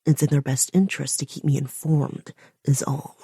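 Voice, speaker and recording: A woman's voice: low voice, fairly even intonation, moderate pace, mellow, breathy edge, no echo, studio quality, pitched below the performer's own voice, heavily acted. A woman voicing a slick, menacing male blackmailer who purrs his threats in a half-whisper.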